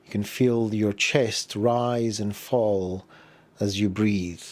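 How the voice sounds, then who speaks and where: A man leading a guided body scan meditation, giving gentle, unhurried instructions with pauses between phrases. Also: fairly low pitch, steady tone.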